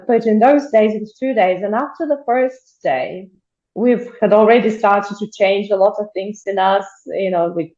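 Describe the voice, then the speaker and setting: A woman's voice: fairly high pitch, a little mellow, roomy sound, highs cut off. A woman from Bulgaria telling her story as a guest in a video call interview, chatty, with frequent laughs and restarted sentences.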